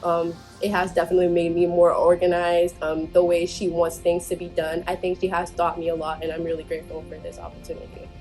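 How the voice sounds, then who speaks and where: A woman's voice: fairly low pitch, completely flat delivery, fairly quick, hardly any pauses, darkish tone, soft background music. A young woman student from Haiti, talking earnestly and reflectively about her research. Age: young adult.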